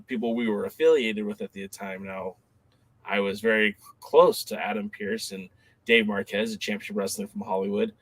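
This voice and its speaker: A man's voice: lowish voice, fairly bright tone, no echo. A man co-hosting a podcast, recalling past events in a rambling, casual way with many fillers. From Southern California. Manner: rambling, filler-heavy recollection. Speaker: podcast co-host.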